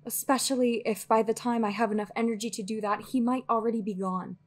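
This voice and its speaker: Woman acting a husky, weary, guarded sorceress. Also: fairly high pitch, steady tone, quick pace, lightly acted. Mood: tense, reluctant. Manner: earnest, hesitant, sighing between phrases. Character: weary guarded female sorceress, anxious, fiercely logical, secretly lonely. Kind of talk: dramatic audio roleplay acting.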